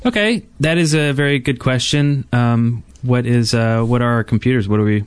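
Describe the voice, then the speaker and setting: A man's voice: medium pitch, moderate pace, background noise. A man hosting a gaming podcast in a casual, conversational way. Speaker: podcast host.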